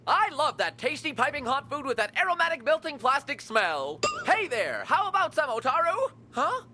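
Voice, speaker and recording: Very high, flamboyant young anime man. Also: very animated, normal speed, bright, forceful tone, full character voice. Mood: eager, coaxing. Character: fussy, doting, flamboyant young man, eager and chirpy. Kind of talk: English anime dub acting. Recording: clear vehicle noise behind.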